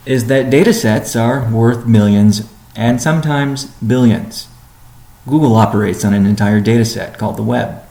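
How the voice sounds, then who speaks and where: A man, a company chief executive, answering community questions in a measured, deliberate, businesslike way on a weekly conference call. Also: medium pitch, darkish tone, echoey room.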